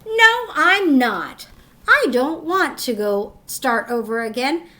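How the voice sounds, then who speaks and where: A woman voicing an anxious, stubborn schoolgirl in a children's picture-book read-aloud, with whiny, drawn-out complaints. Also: high-pitched, very animated, sharp tone, full character voice. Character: reluctant, anxious schoolgirl who hates her new school.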